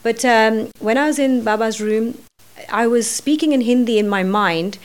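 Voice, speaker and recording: A woman's voice: on the high side, normal speed. Earnest woman thinking aloud. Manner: earnest, thinking aloud with many "you know"s. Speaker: podcast guest. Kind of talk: reflective podcast conversation.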